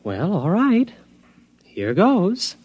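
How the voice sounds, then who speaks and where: An actor playing a nervous but commanding new overlord in a theatrical 1950s radio drama. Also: male voice, sits high, huge pitch swings, drawn-out words, heavy background noise, exaggerated voice. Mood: hesitant. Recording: remastered 1950s radio broadcast.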